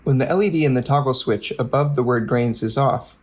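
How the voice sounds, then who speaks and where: A man's voice: mid-range voice, brisk. A man narrating a scripted technical tutorial in a methodical, explanatory way, as a product demonstrator.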